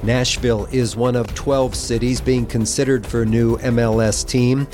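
Steady male newsreader reading a news roundup. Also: mid-range voice, moderate pace, faint music behind. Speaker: news reporter.

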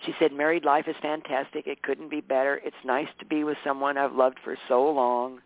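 A man with a tired, hoarse voice, a regular guest on a casual talk show, chatting with warmth, laughing often and correcting himself as he goes. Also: mid-range voice, flat delivery, brisk, dry recording. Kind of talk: casual radio show chat. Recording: dry, phone-line sound.

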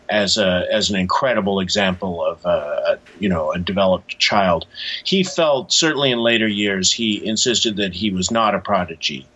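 An American man speaks as an interview guest, explaining things thoughtfully and haltingly. His voice is low and husky with a bright, forceful edge. The sound is a little dull, like a remote call. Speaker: cartoonist and author, interview guest. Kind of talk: conversational interview answers.

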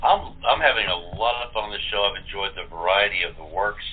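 Husky low-voiced man on a phone line. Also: expressive, fast. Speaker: voice actor in an audio theatre group. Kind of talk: casual chat. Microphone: phone or internet call. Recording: narrow, tinny phone line.

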